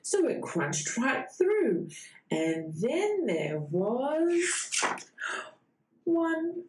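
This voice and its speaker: A woman reading a bedtime storybook to young children in a sing-song way, with dramatic pauses before key words. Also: high-pitched, sing-song, darkish tone. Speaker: children's read-aloud host. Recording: roomy echo.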